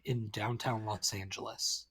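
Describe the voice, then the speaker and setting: A man's voice: fairly low pitch, steady tone, a little slow, crowd noise. A man co-hosting a casual podcast, rambling and filling his talk with "like". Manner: rambling, full of "like". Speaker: podcast co-host.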